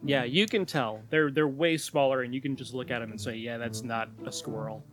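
Calm, explaining podcast co-host. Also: male voice, mid-range voice, fairly quick, faint music behind. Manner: calm, explanatory, laughs along. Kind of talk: casual chat with explanations.

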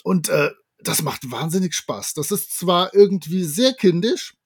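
A man's voice: high-pitched, animated, a little slow, husky, no room sound, studio quality. A man, chatting casually and enthusiastically as a podcast co-host. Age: 50s. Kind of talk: casual chat.

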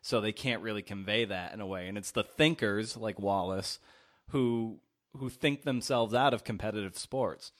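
Low-voiced man interviewing. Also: normal speed. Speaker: podcast host, interviewer. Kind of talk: casual, reflective interview talk.

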